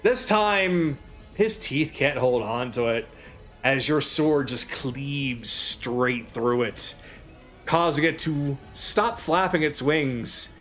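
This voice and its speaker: A man's voice: mid-range voice, slow, a bit bright, soft background music. A man speaking casually as a game master, narrating action in a tabletop role-playing game.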